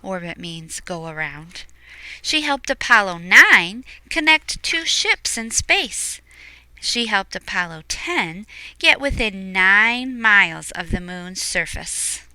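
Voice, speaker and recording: A woman reads a children's storybook aloud at an unhurried pace. Her voice is very bright and crisp, recorded close and dry. She is expressive and drops into quieter explanatory asides for young listeners. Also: mid-range voice, no echo, poor audio. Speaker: picture book reader.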